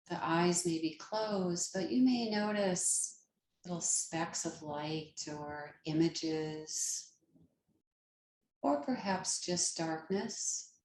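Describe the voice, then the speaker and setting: A woman leading a guided meditation as a teacher, speaking in a calm, gentle manner with long pauses, as if easing listeners into rest. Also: lowish voice, reverberant, slight background noise.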